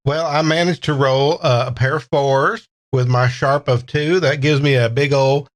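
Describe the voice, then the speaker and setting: A man playing a folksy, polite, unhurried man with a drawl in a tabletop role-playing game. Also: mid-range voice, fairly even intonation, natural voice. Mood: folksy, confident.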